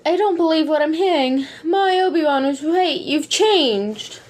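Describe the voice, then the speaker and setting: A woman's voice: high-pitched, lively intonation, measured pace. A woman playing an earnest young noblewoman and wife in a parody audio play, pleading melodramatically. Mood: heartbroken.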